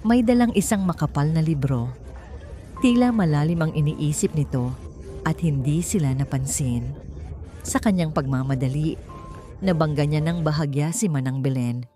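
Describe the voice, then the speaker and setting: Low-pitched woman narrating over music. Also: moderate pace, mellow. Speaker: story narrator. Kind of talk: scripted dramatic story narration. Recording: music bed under the voice. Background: background music.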